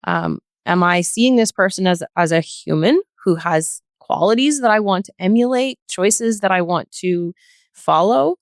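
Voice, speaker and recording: A woman's voice: medium pitch, animated, measured pace, no room sound, clean studio sound. A woman in a reflective podcast conversation, explaining her views in a thoughtful, earnest way. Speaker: podcast guest.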